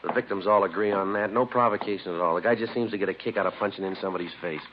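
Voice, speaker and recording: A man playing a terse, weary police sergeant in a vintage radio crime drama, deadpan and matter-of-fact. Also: fairly low pitch, very fast, close to an everyday voice. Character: terse, weary male police sergeant narrating his case. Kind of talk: 1950s radio drama acting, clipped procedural narration. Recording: muffled, noisy vintage radio recording.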